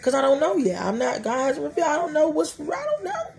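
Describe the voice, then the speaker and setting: Animated woman preaching to herself. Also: high-pitched, quick pace, vocal fry, faint music behind. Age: 30s. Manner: animated, preachy, talks to herself, laughing asides. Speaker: podcast host. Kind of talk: casual faith talk. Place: small room, indoors before a dark curtain.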